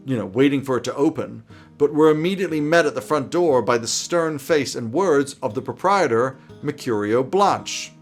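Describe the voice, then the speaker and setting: A man in his thirties with a mid-pitched voice, hosting a tabletop advice video. He is wry and emphatic, speaking to camera from a small room over faint music. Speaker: host giving game master tips. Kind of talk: semi-scripted video commentary to camera. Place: small indoor home office.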